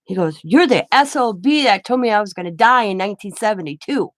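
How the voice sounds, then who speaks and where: A woman's voice: medium pitch, animated, brisk, dry recording. Chatty woman, dry close sound. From US Midwest. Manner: rambling, chatty, laughs often. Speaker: craft vlogger. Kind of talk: casual chat while crafting.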